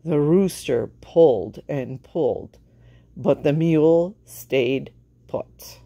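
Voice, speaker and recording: Low-pitched, unhurried woman reading a storybook. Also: dark tone, dry recording. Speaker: storytime reader. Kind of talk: children's picture-book read-aloud.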